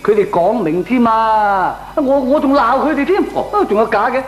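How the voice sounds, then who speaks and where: A man's voice: very high voice, moderate pace, soft background music. A man playing a talkative, moralising older uncle with a Hong Kong Cantonese accent in a comedic radio drama, exclaiming as he explains. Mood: indignant. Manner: animated, expository, exclamatory. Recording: roomy, echoing radio drama recording.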